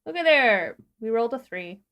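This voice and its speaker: A woman co-hosting a livestream, explaining software step by step in an informal, casual tutorial style. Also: fairly high pitch, lively intonation, normal speed. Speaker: livestream co-host demonstrating a tutorial.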